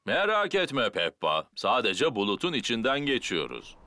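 A man's voice: mid-range voice, huge pitch swings, normal speed, noisy background. A man voicing a jolly, easygoing middle-aged father pig in a dubbed preschool cartoon. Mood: reassuring. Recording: music behind the voice.

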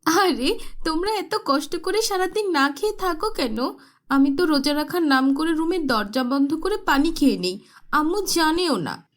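Cartoon part: breezy, haughty rich young girl, high and animated , played by a woman. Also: normal speed. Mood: breezy, boastful.

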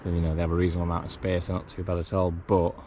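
Rambling man vlogging outdoors, lowish voice, dull camera sound with wind and water. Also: completely flat delivery, racing. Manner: chatty, rambling, frequent "you know". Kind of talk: casual outdoor vlog chat. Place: outdoors, kayak at sea then beach. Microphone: action camera.

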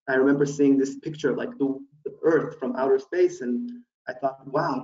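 A man presents an online webinar, pausing often with ums and uhs as he thinks aloud. His voice sits at a middling pitch and is picked up from a distance by a laptop webcam mic. The audio is dull and the small room gives it a noticeable echo. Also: a little mellow. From USA (Houston, Texas). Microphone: laptop webcam mic, video call.

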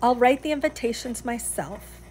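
A woman's voice: a little high, normal speed, a little mellow, a little hiss or hum, close to an everyday voice. A woman voicing a hardworking female storybook iguana, emphatic and insistent with her lazy friends. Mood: resolute.

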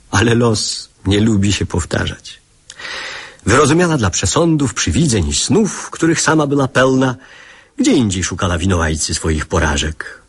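A man playing a reflective narrator who recalls his childhood in measured, literary radio drama storytelling. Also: medium pitch. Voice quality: husky.

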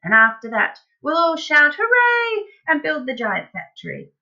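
An overbearing farmer dad from a children's storybook, acted out by a woman, gushes and exclaims impatiently. The voice swoops up and down with bright, forceful energy. The recording is a little dull. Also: mid-range voice, animated, moderate pace. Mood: excited, urging. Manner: emphatic, gushing, exclaiming, impatient. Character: wildly excited, bossy, overbearing middle-aged farmer father. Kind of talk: dramatised children's storybook reading.

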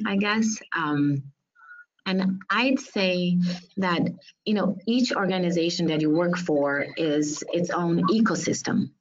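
A woman from Brazil, speaking English as a second language, giving earnest and direct advice as a webinar panelist, with frequent ums. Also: on the low side. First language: Portuguese. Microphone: video call. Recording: lo-fi video call audio.

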